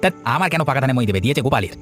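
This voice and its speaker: A scheming village man from a cartoon, voiced by a man. He talks very fast in a chatty, insistent way at a middling pitch, with music behind. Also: expressive, fairly bright tone, natural voice. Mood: scornful, insistent. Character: scheming, grudging village man, scornful of neighbour. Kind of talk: Bengali village cartoon voice acting.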